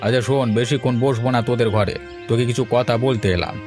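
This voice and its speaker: A snobbish, grumbling middle-aged father played by a man in a cartoon tale. He talks fast and brusquely, sneering at poverty over clearly heard music. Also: mid-range voice, steady tone, close to an everyday voice. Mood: disdainful. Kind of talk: Bengali cartoon voice acting.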